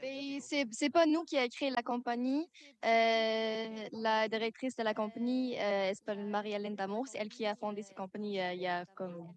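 A woman's voice: fairly high pitch, fairly even intonation, fairly quick. A woman from New Zealand, a circus performer giving short casual answers in a radio interview.

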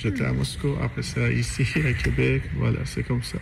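A man from Iran with a gravelly voice answers slowly, hunting for his words and laughing a little nervously. Clear background noise runs under him. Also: medium pitch, flat delivery. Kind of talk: casual interview.

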